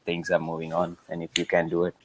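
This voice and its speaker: A man who is a guest in a casual chat, soft-spoken and a little hesitant, agreeing often. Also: low-pitched, completely flat delivery, fast talker, slight background noise, rough recording.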